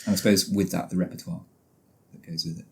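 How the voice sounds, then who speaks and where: Brisk low-voiced male podcast host. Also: level tone, creaky, mellow, slightly rough. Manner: brisk quickfire questions, short agreeing replies. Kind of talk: casual podcast chat.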